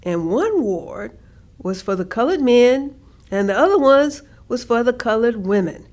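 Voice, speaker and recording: An actress playing an older woman, recalling hard working years in a measured, reflective staged reading. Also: on the high side, expressive, darkish tone. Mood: matter-of-fact recollection. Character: older woman, former hospital worker, plainly recalling segregation-era hardship. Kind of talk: staged reading of oral history.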